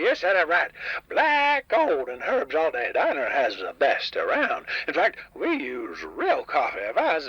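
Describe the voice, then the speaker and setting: A man voicing a grumpy, stubborn old diner owner, raspy, crotchety and rambling, heard over a radio call-in line. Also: fairly high pitch, no room sound, lo-fi sound, heavily acted. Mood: contented, proud. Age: older. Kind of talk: comedic radio drama guest. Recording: dry, band-limited radio call-in line.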